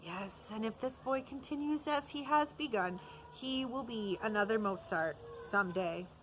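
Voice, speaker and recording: A woman's voice: a little high, animated, normal speed, a little hiss or hum, natural voice. Woman reading as a stern old music master.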